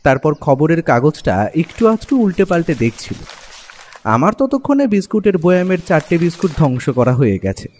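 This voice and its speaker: A man narrating a scripted first-person story as an expressive storyteller. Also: on the high side, normal speed, slight background noise. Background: music.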